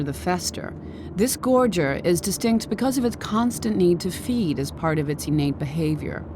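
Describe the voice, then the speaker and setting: An actress playing a composed researcher who catalogues monsters in measured, clinical scripted narration for a video game. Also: female voice, lowish voice, noisy background, lightly acted.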